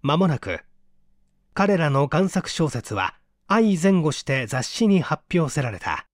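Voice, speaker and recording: A man reading literary fiction aloud as a narrator, in a theatrical, deliberate storytelling manner. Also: fairly high pitch, sing-song, no echo, studio quality. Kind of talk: dramatic literary audiobook reading.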